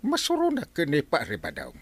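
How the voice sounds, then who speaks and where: An actor with an Ilocano Filipino accent playing a blustering, scolding village captain in a radio comedy drama, full of exclamations. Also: male voice, fairly high pitch, huge pitch swings, a little fast, slightly rough, heavily acted. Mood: grumbling.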